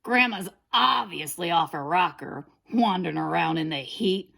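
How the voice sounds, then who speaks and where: A woman's voice: fairly low pitch, expressive, normal speed, gravelly, bright, forceful tone, exaggerated voice. Lady doing a scheming greedy wolf from a kids story, wheedly and gloaty, voice swings all over. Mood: wicked gloating. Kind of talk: children's storybook character reading.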